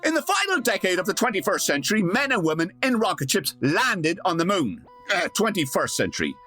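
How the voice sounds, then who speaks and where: A pompous, wry radio show announcer with a high voice that swoops dramatically. He narrates theatrically in an old-time radio comedy, slipping in tongue-in-cheek asides over music. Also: wildly swooping pitch, a little fast. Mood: mock-solemn, wry.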